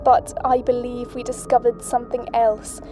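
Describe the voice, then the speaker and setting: A woman playing a solemn astronaut, the sole survivor of a mission, speaking in a grave, measured way. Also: on the high side, monotone, close to an everyday voice. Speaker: astronaut Butler. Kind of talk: audio drama acting. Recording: music bed under voice.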